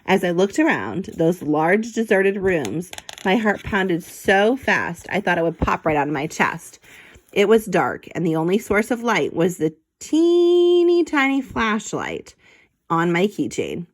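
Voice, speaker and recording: A woman reading a children's book aloud as an expressive narrator, in a playful, theatrical way. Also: medium pitch, unhurried. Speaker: storybook reader.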